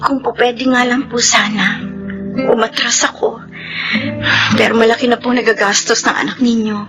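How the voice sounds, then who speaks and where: A woman's voice: a little high, flat delivery, moderate pace, music in the background, natural voice. An actress playing a meek, anguished young mother in a radio drama. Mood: sorrowful, hesitant. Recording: faintly noisy radio drama track.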